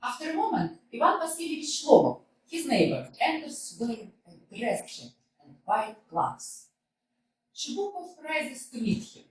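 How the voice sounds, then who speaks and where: A woman reading the stage directions and cast list aloud in a measured, matter-of-fact way, recorded from a distance in a roomy hall. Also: high-pitched, sing-song.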